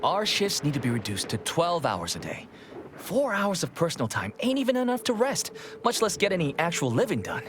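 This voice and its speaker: An actor voicing a plain-spoken, weary factory worker in a video game, earnest, folksy and halting. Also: male voice, on the high side, huge pitch swings, noisy background. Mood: earnest, aggrieved.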